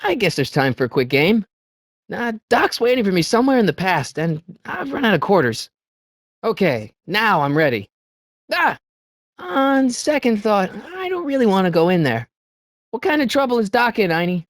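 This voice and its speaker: Game role: earnest, excitable teen boy adventurer, very lively pitch movement, flustered stammer, dry studio sound. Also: normal speed, no echo. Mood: puzzled, casual. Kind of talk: video game voice acting.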